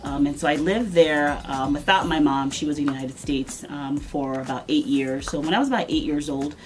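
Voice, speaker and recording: Low-voiced woman telling her story. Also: fairly quick, soft background music. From Nigeria and California. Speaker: interview guest. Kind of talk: conversational personal storytelling.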